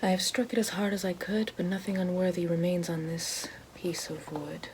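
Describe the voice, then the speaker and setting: A woman playing a regal, menacing evil queen witch in an ASMR fantasy roleplay, speaking slowly and formally in a soft, breathy, often whispered voice. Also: on the low side, level tone, noisy background, natural voice. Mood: ceremonious. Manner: slow, deliberate, formal.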